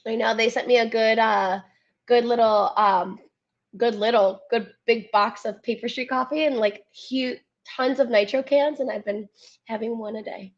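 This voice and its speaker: A woman's voice: fairly high pitch, steady tone, normal speed, highs cut off. A woman in her twenties, a Spanish native speaker, chatting as a podcast guest in a bubbly way, laughing and searching for words. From United States. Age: 20s. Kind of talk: casual chat.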